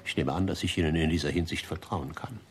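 An actor playing a pompous, fastidious gentleman gourmet in a German radio drama, lecturing formally and rhapsodizing about food. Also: extremely low pitch, a little hiss or hum. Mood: confiding, earnest. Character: pompous, fastidious gentleman gourmet, obsessively devoted to one restaurant.

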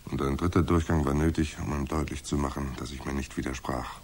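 A hardboiled private eye in a radio drama, with a very low, rough-edged voice. He is laconic and wry, telling his case and trading lines in a dry deadpan. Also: brisk. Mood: dry, observant. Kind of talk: hardboiled radio play narration and dialogue.

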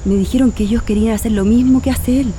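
A woman's voice: a little high, fairly even intonation, quick pace, dark tone, slight background noise, lightly acted, poor audio. An actress playing an anxious, tender middle-aged mother with a Chilean accent in a radio drama. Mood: preoccupied. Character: anxious, grieving Chilean mother lost in memories.

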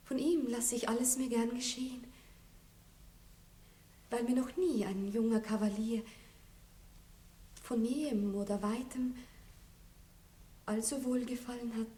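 Lady as naive eager young viennese bride, high girlish voice, echoey old radio drama. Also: measured pace, pauses often, mellow, natural voice. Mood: shy, smitten. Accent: Viennese Austrian. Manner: girlish, earnest, artless. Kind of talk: 1960s radio play acting. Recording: old roomy radio studio recording.

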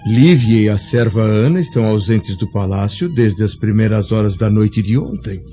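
A man's voice: on the low side, animated, moderate pace, mellow, muffled recording, faint music behind, lightly acted. A man playing a proud, fatherly older Roman senator in a radio drama, solemn and emotional. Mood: alarmed. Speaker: father of Flávia.

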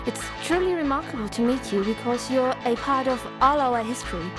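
A woman's voice: sits high, animated, normal speed. An actress playing an intrigued woman interviewing a guest on a talk show in a radio play. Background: loud music.

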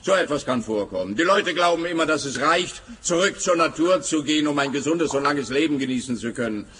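An actor playing a pompous, dim police official who talks in a self-satisfied, long-winded way in a radio play. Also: male voice, fairly high pitch, lively intonation, brisk, a bit bright.

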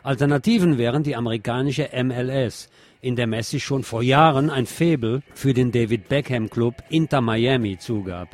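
A man's voice: medium pitch, moderate pace, darkish tone. Wry male radio news presenter. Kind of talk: reading news and commentary on radio.

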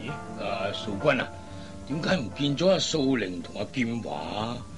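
A man's voice: mid-range voice, very animated, moderate pace, music in the background. A man playing a puzzled, kindly middle-aged father in a Cantonese radio drama, hesitant and exclamatory. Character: puzzled, fretful, kindly father of grown daughters.

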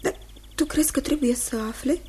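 Radio drama role: sweet young fiancée played by a woman, high voice, earnest and unhappy, tender, hesitant, seeking agreement. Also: fairly even intonation, warm tone, slight background noise, lightly acted. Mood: uneasy. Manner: tender, hesitant, repeats phrases, seeks agreement. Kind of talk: Romanian radio theatre acting.

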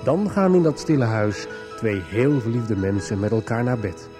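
A man reads a scripted story recap for a radio serial as its narrator. His voice sits at a medium pitch, with clear music underneath. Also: normal speed. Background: prominent music.

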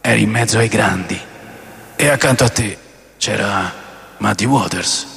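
Reverberant, theatrical wistful rock fan. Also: male voice, on the low side, measured pace, fairly bright tone. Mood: hushed awe. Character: wistful, intense rock fan recalling the Rolling Stones. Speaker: narrator of a radio drama. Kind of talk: dramatic radio monologue. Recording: long reverb, clear music bed.

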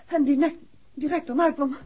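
An actress playing a refined, excitable detective's wife with a husky voice in a 1960s radio drama. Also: female voice, high-pitched, normal speed, natural voice. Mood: flustered. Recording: dull 1960s radio recording.

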